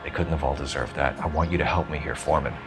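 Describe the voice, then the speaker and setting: A man's voice: extremely low pitch, fast, over music. A man playing an earnest 1940s police detective in a video game, questioning in a clipped, formal way. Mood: probing, firm.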